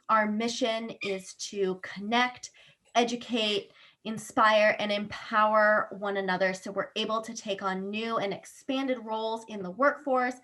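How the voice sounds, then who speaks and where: A woman's voice: medium pitch, fairly bright tone. A woman hosting a live online interview over a video call, welcoming the audience and going through event housekeeping in an upbeat way. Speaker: interview host, board member.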